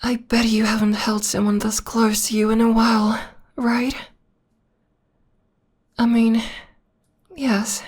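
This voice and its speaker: Woman acting a breathy, whispery, obsessed vampire girlfriend. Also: on the high side, level tone, a little mellow. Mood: possessive tenderness. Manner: slow, tender, lingering delivery. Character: possessive, obsessed female vampire lover, clingy and adoring. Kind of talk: intimate ASMR roleplay.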